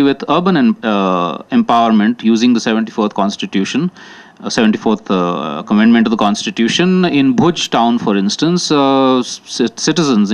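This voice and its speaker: Guy on a panel, middle pitch, lots of uh and um, thinking out loud. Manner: thoughtful, many uh and um fillers, restarts. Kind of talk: panel discussion remarks. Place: conference hall.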